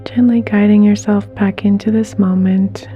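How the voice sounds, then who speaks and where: A woman leading a guided meditation in a soft, gentle, soothing way, with unhurried pauses and some breathiness. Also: medium pitch, steady tone, dull audio, faint music behind.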